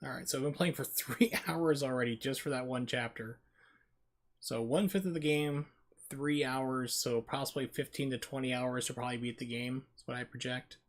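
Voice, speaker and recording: A man's voice: mid-range voice, normal speed. A man doing casual, wry live commentary while streaming a video game. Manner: wry, joking asides. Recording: clear background noise.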